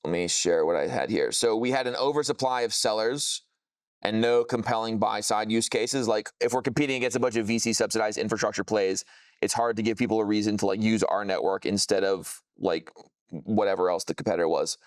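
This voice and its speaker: Man, low clear voice, dry close sound, informal tech presentation, casual jargon-heavy explaining. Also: brisk, no echo. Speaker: software founder presenting a product. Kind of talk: informal product demo presentation.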